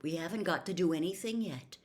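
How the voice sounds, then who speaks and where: A woman voicing a teenage boy who speaks quietly and reassuringly. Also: on the low side, brisk, natural voice. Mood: calm.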